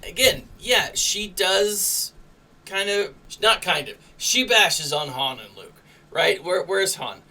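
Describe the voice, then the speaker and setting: Male vlog host, higher-pitched and forceful, chatty and opinionated, recorded a little off the mic. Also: lively intonation, slow pace, far from the mic. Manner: emphatic, conversational, repeats "right?" as a tag. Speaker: YouTube host and reviewer. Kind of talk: casual opinionated commentary to viewers.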